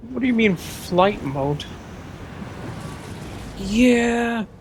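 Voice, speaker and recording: A man's voice: sits high, expressive, very slow, a little hiss or hum. A man giving casual reaction commentary over gameplay, talking in a blunt, sarcastic, sweary way. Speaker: game streamer.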